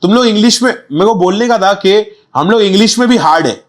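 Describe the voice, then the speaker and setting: A man's voice: high-pitched, fast talker. A young man, a guest on a podcast, speaking candidly and reflectively in casual street slang. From India. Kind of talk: casual interview chat.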